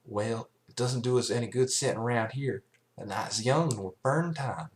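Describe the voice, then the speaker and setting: A man's voice: medium pitch, normal speed, voice pushed up, natural voice. A man voicing an earnest, folksy young female farm pony with plain-spoken ways, in a story reading. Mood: determined.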